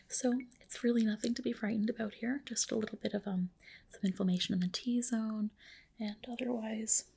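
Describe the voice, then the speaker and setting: Breathy whispering woman in an ASMR roleplay. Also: mid-range voice. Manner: reassuring, gently explaining. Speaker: ASMR performer playing a medical spa skin specialist. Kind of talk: ASMR roleplay, personal attention.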